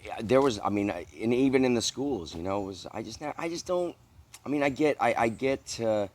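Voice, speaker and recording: A middle-aged man from the American South interviewing a guest, speaking thoughtfully with many halting restarts. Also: medium pitch, animated, darkish tone. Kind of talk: casual interview.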